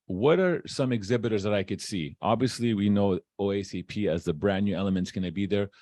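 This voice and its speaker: A man's voice: fairly low pitch, a little fast, a little mellow. A man hosting a podcast, asking questions in a casual interview style.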